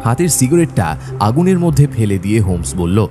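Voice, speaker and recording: An actor playing a detective's observant companion, narrating the story dramatically in an audio drama. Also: male voice, fairly low pitch, sing-song, brisk, over music. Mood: descriptive, suspenseful.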